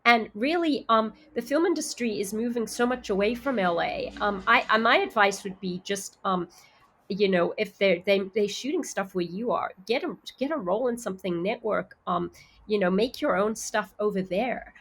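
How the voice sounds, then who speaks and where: Guest actress from Zimbabwe, now sounds American with faint Zimbabwean traces; rambling, filler-heavy, mid-range voice. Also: female voice, medium pitch, normal speed, fairly bright tone, a little hiss or hum. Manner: chatty, many fillers and restarts. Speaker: actor guest. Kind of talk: casual interview chat.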